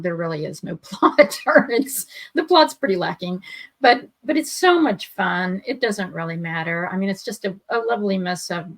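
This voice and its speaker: A woman chatting casually as a regular podcast panelist, giving her opinions in an informal way. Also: fairly low pitch. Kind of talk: casual review chat.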